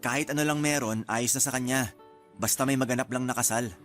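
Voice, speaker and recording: A man's voice: medium pitch, steady tone, quick pace, fairly bright tone, lightly acted. A man with a Filipino accent acting an apologetic, easygoing groom-to-be in a radio drama. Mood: easygoing, unsure. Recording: music bed under the voice.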